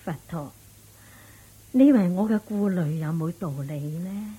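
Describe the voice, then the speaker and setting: Fretful, slow-talking widowed mother, husky. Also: lowish voice, warm tone. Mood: fretful, worried. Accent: Hong Kong Cantonese. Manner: sighing, fretful, scolding at interruptions. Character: anxious, practical widowed mother of two grown sons. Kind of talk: Buddhist radio drama acting.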